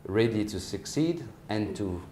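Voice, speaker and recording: A man in his forties from France with a French accent, giving measured, hesitant interview answers as a company managing partner. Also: lowish voice, animated, mellow. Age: 40s. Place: office, indoors. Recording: roomy echo, faint background noise.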